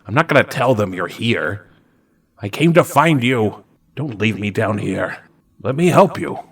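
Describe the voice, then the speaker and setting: A man's voice: fairly low pitch, expressive, measured pace, gravelly, husky. A man playing a tabletop roleplaying game, chatting casually and improvising with joking, mock complaints. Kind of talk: casual chat with in-character improvisation.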